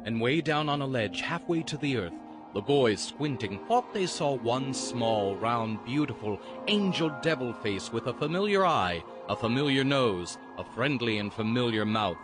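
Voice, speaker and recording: A man reading dramatic storybook narration in a lyrical, literary and expressive manner. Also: medium pitch, normal speed, close to an everyday voice. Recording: music under the voice. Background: music.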